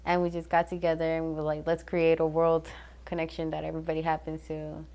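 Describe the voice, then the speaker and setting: A woman's voice: low-pitched, completely flat delivery, fast talker, a little mellow. A teenage girl raised in New Jersey, a student filmmaker answering interview questions informally, with lots of "like". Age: teens. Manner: informal, uses "like" a lot, laughs easily.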